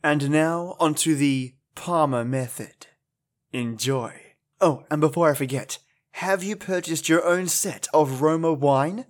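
A man hosting a podcast chats in a playful way between old radio episodes. He talks casually and chuckles at his own asides. His voice sits slightly high. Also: measured pace. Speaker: podcast host. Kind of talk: casual chat.

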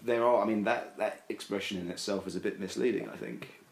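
A very low-voiced man explains his views in a loose, rambling way, full of fillers and restarts. His voice rings around an echoey room. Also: brisk, gravelly. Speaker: podcast guest. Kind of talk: casual discussion.

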